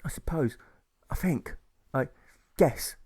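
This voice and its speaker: A man's voice: a little high, animated, brisk, a little mellow. A man playing a jittery, timid young objector. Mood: fretful. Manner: nervous, hesitant, rambling. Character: timid teenage male conscientious objector.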